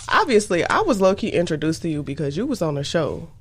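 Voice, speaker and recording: A woman's voice: lowish voice, big pitch swings, a little fast, slightly rough. A woman co-hosting a radio interview, asking questions in a playful, slangy, teasing way. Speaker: radio show co-host, interviewer. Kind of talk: casual interview banter.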